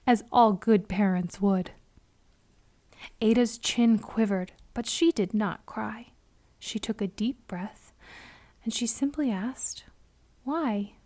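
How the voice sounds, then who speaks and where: A woman reading a children's story aloud expressively, with deliberate emphasis on key words. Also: medium pitch, warm tone. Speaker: storybook reader.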